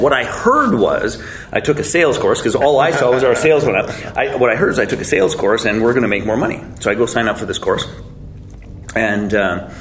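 A man's voice: on the low side, fairly bright tone, slight background noise. A man in his forties, a guest telling his story in a casual, blunt way, swearing freely and joking at his own expense. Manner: blunt, swearing, self-mocking, chuckling.

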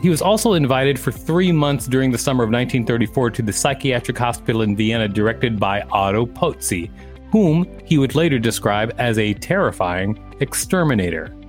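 A man's voice: mid-range voice, lively intonation, fairly quick, faint music behind. Male podcast co-host reading aloud. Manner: casual, joking asides between readings. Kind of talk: reading an article aloud with asides.

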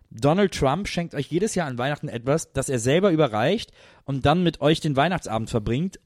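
A man's voice: on the high side, a little mellow, no room sound, clean studio sound. A man co-hosting a casual podcast, reading listener questions aloud in a laid-back way.

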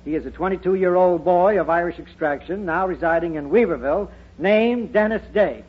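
An actor playing a solemn court bailiff in a 1940s radio comedy, reciting formally. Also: male voice, sits high, moderate pace, a little hiss or hum, close to an everyday voice. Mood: dutiful. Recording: dull, muffled 1940s radio recording.

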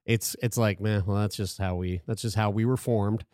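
A man's voice: on the low side, steady tone, normal speed, a little mellow, dry recording, clean studio sound. A man of thirty-five hosting a podcast, talking casually with lots of restarts and casual swearing. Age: 30s. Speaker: podcast host. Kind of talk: casual chat.

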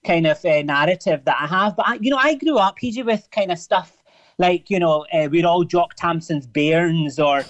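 A man with a Glaswegian Scottish accent, a radio guest on the phone, talking in a halting way and often repeating and restarting his words. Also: a little high, fairly bright tone, lo-fi sound. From Scotland, Glasgow. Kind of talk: casual radio chat.

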